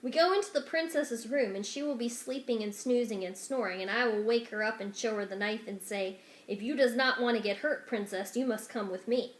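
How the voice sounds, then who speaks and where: A woman's voice: a little high, brisk, hardly any pauses, natural voice. A woman voicing a simple-minded, eager young servant girl in a storybook, blunt and uneducated in her way of talking. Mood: eager, boastful. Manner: uneducated rustic grammar, blunt. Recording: roomy indoor echo.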